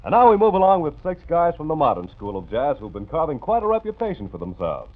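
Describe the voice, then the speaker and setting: A man announces records and guests with genial, punning patter in a bouncy, lively voice that sits a little high. He sounds thin and tinny, like an old radio broadcast coming through a small speaker. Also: fast. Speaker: radio show host.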